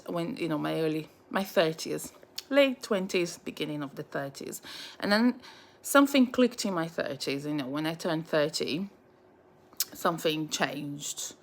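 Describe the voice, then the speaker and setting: A Brazilian-born woman of nearly forty with a Brazilian Portuguese accent, telling her life story in a chatty personal vlog, full of fillers and restarts. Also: sits low. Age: 30s. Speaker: vlogger.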